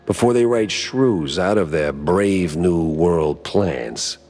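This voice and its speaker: Gruff world weary detective mouse, american, deep voice, dry n sardonic, bit of music under it. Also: male voice, lively intonation, slow, a little mellow, full character voice. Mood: weary, cynical. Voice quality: deep, husky. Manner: gruff, sardonic, clipped noir patter.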